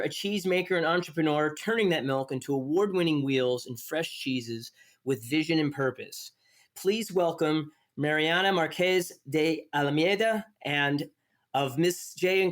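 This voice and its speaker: Chatty male podcast host, higher voice. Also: a bit bright. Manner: chatty, stumbles and restarts mid-sentence. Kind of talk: hosted interview.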